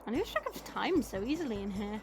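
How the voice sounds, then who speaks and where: A woman's voice: a little high, sing-song, a little fast, faint music behind. A woman playing a playful, sardonic monster girl in an audio roleplay, drawling and teasing. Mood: wistful, teasing. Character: playful, teasing female monster, powerful and lonely.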